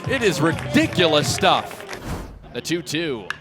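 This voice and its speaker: A man's voice: fairly high pitch, big pitch swings, unhurried. A man in his twenties doing radio play-by-play commentary of a baseball game. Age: 20s. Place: outdoor ballpark broadcast. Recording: ballpark crowd and music behind. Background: stadium crowd noise and music.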